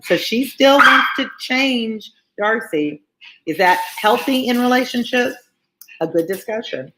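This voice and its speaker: A woman's voice: mid-range voice, a bit bright, a little hiss or hum, low-quality recording. A woman tutoring on a live read-along of a classic novel, reading aloud and adding informal explanatory asides for listeners.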